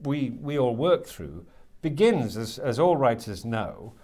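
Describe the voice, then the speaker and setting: A man's voice: mid-range voice, big pitch swings, a little mellow. Hesitant, thoughtful man in interview. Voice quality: hesitant, halting delivery with repeated words. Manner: thoughtful, circling toward his point. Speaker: university creative writing lecturer being interviewed. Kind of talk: reflective interview talk.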